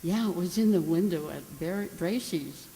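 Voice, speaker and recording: A woman's voice: fairly low pitch, moderate pace, mellow, close to an everyday voice. A woman playing an anxious, naive, girlish young wife in a melodramatic amateur radio drama. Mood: sheepish, chatty. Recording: echoey hall with background noise.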